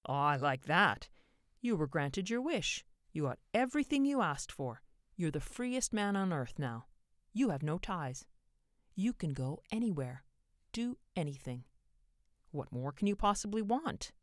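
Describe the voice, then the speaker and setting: Storybook part: mysterious little man, wry, chiding, deliberate, played by a woman. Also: fairly low pitch, big pitch swings, dry recording, clean studio sound.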